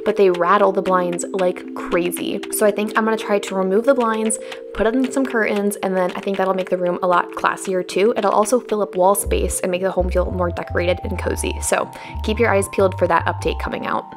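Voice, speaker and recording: Chatty twenty-something girl talking through her house cleaning, lots of questions to viewers, music playing loud behind. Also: mid-range voice, steady tone, brisk. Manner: chatty, upbeat, asks viewers many questions. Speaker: lifestyle vlogger. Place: home, kitchen and bathroom. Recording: narration over loud background music.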